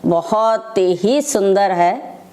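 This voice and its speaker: An older woman, telling personal devotional stories as a devotee, in short phrases with pauses between them. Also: mid-range voice, lively intonation, mellow. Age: older, over 60. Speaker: devotee sharing personal testimony.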